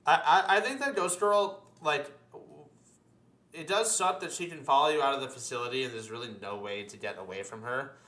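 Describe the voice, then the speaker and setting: A man's voice: mid-range voice, fairly quick, sharp tone. A man chatting casually and emphatically about video game monsters, as a host who swears a lot. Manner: profane, emphatic. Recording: roomy echo.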